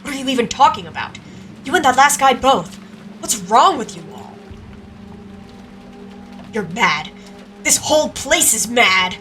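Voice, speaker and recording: A woman's voice: a little high, expressive, brisk, sharp tone, recorded from a distance, faint music behind, pitched above the performer's own voice. A woman playing an angry, panicked male writer on the verge of tears. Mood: angry, shaken.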